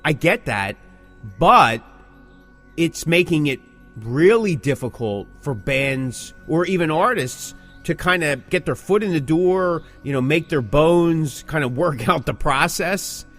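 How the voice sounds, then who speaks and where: High-pitched man hosting a podcast. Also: animated, a little slow, fairly bright tone, faint music behind. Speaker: podcast co-host. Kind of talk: casual music podcast chat.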